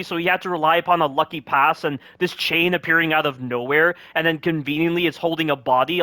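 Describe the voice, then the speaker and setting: Critical male game commentator, bright voice. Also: a little high, no echo. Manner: critical, full of rhetorical questions. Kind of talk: critical gameplay commentary over cutscenes.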